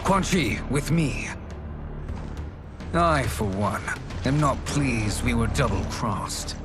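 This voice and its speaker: An actor playing a sly, haughty sorcerer in a video game, with ornate, mock-polite phrasing. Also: male voice, mid-range voice, animated, slow, loud music behind the voice. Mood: cool, vengeful. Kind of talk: video game cutscene acting.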